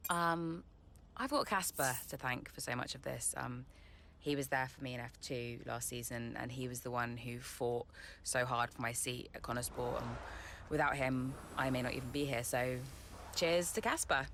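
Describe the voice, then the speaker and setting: Young racing driver in a game interview, low voice, measured and a bit guarded, faint noise. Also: female voice, fairly even intonation, fairly bright tone. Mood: grateful, sincere. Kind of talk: post-race interview.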